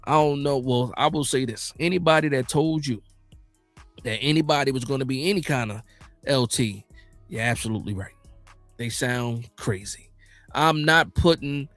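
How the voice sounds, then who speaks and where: An American man hosting a casual football livestream, reading out viewer comments and reacting in slangy, animated, informal talk. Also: fairly high pitch, dry recording. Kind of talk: casual fan chat about football.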